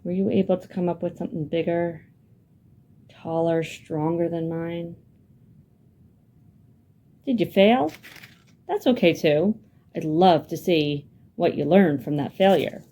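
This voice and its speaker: A woman's voice: fairly low pitch, a little slow, long pauses, warm tone. A woman children's librarian leading a hands-on building lesson, asking the young viewers lots of encouraging questions. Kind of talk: hands-on craft lesson for kids.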